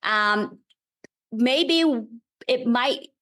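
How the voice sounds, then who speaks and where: A woman's voice: on the high side, very slow, a bit bright. A woman in her thirties from Vietnam with a Vietnamese accent and Vietnamese as her first language, explaining things step by step in a friendly, thoughtful way as a podcast guest. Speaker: guest real estate investor. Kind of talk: casual podcast interview.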